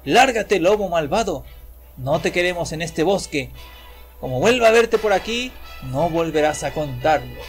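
A man voicing a furious hunter in a storybook tale, shouting threats. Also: a little high, animated, normal speed, faint music behind. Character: angry, menacing grown male hunter.

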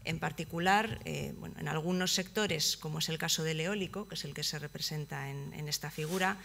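Hesitant woman presenting, gravelly and bright. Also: low-pitched, fairly quick. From Basque Country, Spain. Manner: hesitant, many fillers and restarts. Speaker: project manager presenting at a conference. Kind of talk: conference presentation. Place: conference hall, seated at a table.

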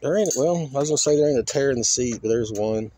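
A man's voice: mid-range voice, quick pace, mellow. A man chatting casually and colloquially as he hosts a rambling walk-around video, in a folksy manner, talking half to himself. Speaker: host of a car crushing vlog. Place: outdoors in a junkyard. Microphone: handheld phone or camera, operator behind it. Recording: faint outdoor noise, handheld camera audio.

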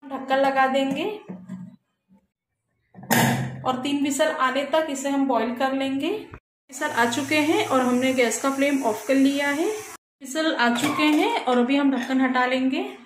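A woman hosting a cooking channel, calmly narrating a recipe step by step in her home kitchen. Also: high voice, takes it slowly, long pauses, roomy sound, a little hiss or hum, poor audio. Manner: calm, instructional, repeats "we will add".

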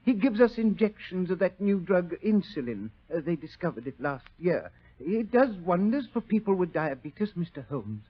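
High-voiced, meek, fussy man. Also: sing-song, normal speed. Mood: flustered, meek. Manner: dithering, hesitant, apologetic. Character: meek, fussy, flustered brother of poisoning victim. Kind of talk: 1940s radio acting. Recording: muffled old-time radio recording.

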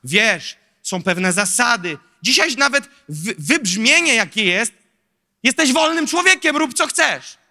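A man in his thirties preaching a sermon into a handheld mic on a large church stage, animated and rhetorical, with lively asides to the audience. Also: very high voice, measured pace. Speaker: pastor. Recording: long hall reverb.

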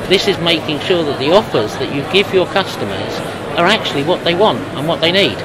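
A man in his fifties being interviewed at a trade show, explaining things in a measured, businesslike way. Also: fairly high pitch, lively intonation. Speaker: company representative being interviewed. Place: busy exhibition hall booth. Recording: loud trade-show crowd noise. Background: loud crowd hubbub.

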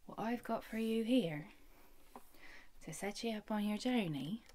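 A young woman playing a gentle, affectionate, playful rogue in a fantasy ASMR roleplay, speaking softly and intimately with rustic phrasing, often in a whisper. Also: medium pitch, unhurried, natural voice. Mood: fond, welcoming. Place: small wood-panelled room indoors. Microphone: phone at close range.

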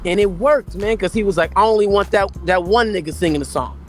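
A man's voice: sits high, quick pace, soft background music. A middle-aged man from Los Angeles, a singer telling studio stories on a video call, acting out what others said. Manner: animated storyteller, acts out quotes. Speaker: R&B singer, guest. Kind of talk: casual storytelling interview. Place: small room indoors. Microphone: webcam on a video call.

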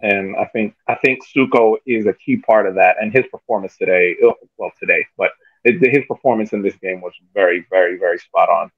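A man giving casual sports analysis on a video call, halting and repeating words as he thinks. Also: fairly low pitch, husky, dry recording. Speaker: sports podcast panelist. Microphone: video call microphone. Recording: dull, dry video-call audio.

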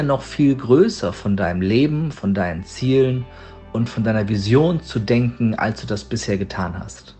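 A man giving an earnest, emphatic motivational talk as a coach, addressing the listener directly with deliberate phrasing. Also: medium pitch. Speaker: motivational coach, podcast guest. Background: background music.

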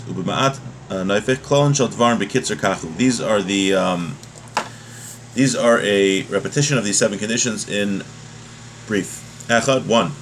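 A man's voice: lowish voice, a bit bright. A man in his thirties with an American accent teaching a religious text informally, reading and then explaining, often rephrasing himself. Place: small home room, indoors. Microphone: webcam or laptop mic at desk distance. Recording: clear background room noise.

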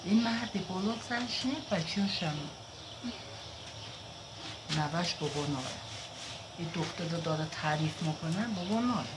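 A woman baker talks with strong feeling, her pitch rising and falling widely. The bakery around her is very noisy. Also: long pauses. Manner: emphatic, animated, emotional. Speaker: village baker. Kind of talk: casual chat. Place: village bakery. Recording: loud background noise.

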